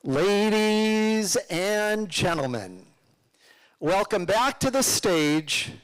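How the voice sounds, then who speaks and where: A man's voice: sits high, big pitch swings, very slow, smooth, low-quality recording. A man announcing a speaker at an event, drawing out his introduction with showy flair. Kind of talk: stage announcement.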